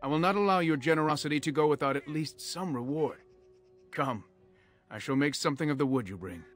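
A man's voice: fairly high pitch, a little fast, soft background music, natural voice. An actor playing a proud, courteous elf master craftsman in a video game, speaking formally and earnestly. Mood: grateful. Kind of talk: video game character acting.

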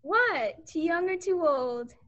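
A high school actress plays a sweet young American woman in a radio drama. Her voice is very high, and her delivery is playful and teasing. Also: animated, slow, a bit bright, dull audio, full character voice. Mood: shy, teasing. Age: teens. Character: sweet, teasing eighteen-year-old small-town girl. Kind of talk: stage radio play acting.

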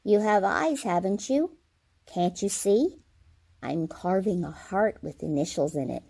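Woman acting an old grandmother, mellow dark tone, creaky, querulous, stubborn, radio play. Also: medium pitch, expressive, a little slow, heavily acted. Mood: wistful, blunt. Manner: querulous, blunt.

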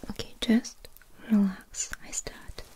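A young woman doing a soft-spoken, whispered ASMR doctor roleplay in short, gentle, reassuring phrases, close to the microphone. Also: slow. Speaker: ASMR performer playing a doctor. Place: small dim indoor room.

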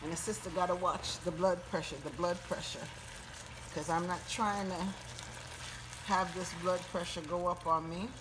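A woman's voice: fairly low pitch, fairly even intonation, normal speed, noisy background. A woman hosting a home cooking video, chatting casually and folksily to her viewers as she cooks at the stove. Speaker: home cooking channel host. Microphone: handheld phone camera.

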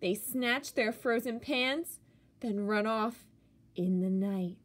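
A woman who is a teacher, reading a picture book aloud to children with expressive, theatrical emphasis on key words. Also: fairly high pitch, takes it slowly, a little hiss or hum.

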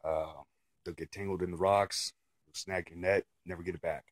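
A man's voice: sits low, steady tone, normal speed, no echo, studio quality. A middle-aged man giving a casual how-to talk, plainspoken, often checking in with "okay" and "right". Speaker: fishing vlogger.

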